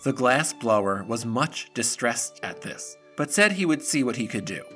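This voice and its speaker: A man's voice: mid-range voice, lively intonation, normal speed, pushed and bright, faint music behind. A man narrating a fairy tale audio drama as a scripted storyteller.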